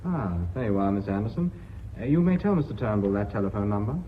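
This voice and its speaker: An actor with a British accent playing an insistent company director in a radio play, clipped and politely pressing. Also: male voice, fairly low pitch, expressive, fast, close to an everyday voice. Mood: dry, probing. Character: brisk, insistent male company director running a tense board meeting. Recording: dull radio drama recording, faint noise.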